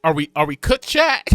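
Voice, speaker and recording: A man in his twenties chatting casually to camera, rambling and animated, with lots of fillers and laughs. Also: high-pitched, clean tone, no echo. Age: 20s. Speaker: vlogger.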